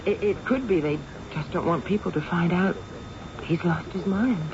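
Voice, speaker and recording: A woman's voice: lowish voice, normal speed, a crowd in the background, close to an everyday voice. Coy, tipsy woman, played by an actress, muffled vintage radio. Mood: hesitant, evasive. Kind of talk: old-time radio drama acting. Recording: muffled, noisy vintage radio drama recording.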